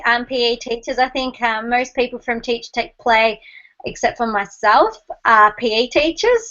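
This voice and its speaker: Woman host, upbeat introductions, higher voice, muffled call audio. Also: a bit bright. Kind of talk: show hosting. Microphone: video call. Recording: dull lo-fi video call audio.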